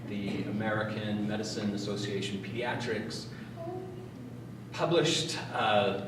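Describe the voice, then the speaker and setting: A man giving an informal public talk as a playwright, hesitant and pausing between short phrases. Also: on the low side. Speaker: playwright introducing his play. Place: large reverberant room at a live event. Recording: very echoey room, distant mic, noisy.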